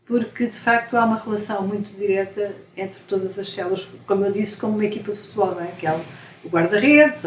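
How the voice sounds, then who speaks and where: A woman from Portugal with a European Portuguese accent, a scientist being interviewed, explaining with analogies and frequent tag questions. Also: medium pitch. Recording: echoey room, faint noise.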